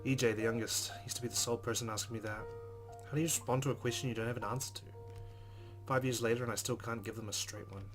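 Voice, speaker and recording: A let's player reading a visual novel's narration aloud and adding casual asides. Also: medium pitch.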